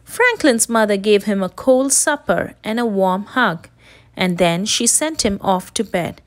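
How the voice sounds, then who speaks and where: A woman narrating a children's story aloud in an expressive way for young listeners. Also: medium pitch, a little slow.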